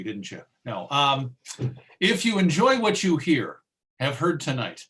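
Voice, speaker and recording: A man speaking as a radio host giving an informal online presentation, chatty and self-deprecating, with a halting delivery. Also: mid-range voice, fairly bright tone. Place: remote video presentation.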